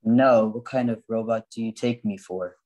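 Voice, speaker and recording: A man's voice: on the low side, normal speed, darkish tone, natural voice. A man playing a stern, loyal robot sidekick in an amateur radio play, formal and declamatory. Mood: defiant. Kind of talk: amateur radio play acting, old-time radio parody.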